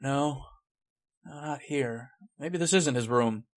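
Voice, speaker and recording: A man's voice: medium pitch, takes it slowly, close to an everyday voice. A man playing an anxious, uneasy employee in an old-time radio drama, speaking in a worried, hesitant way. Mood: spooked, uneasy. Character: anxious, uneasy male employee searching his employer's gloomy house.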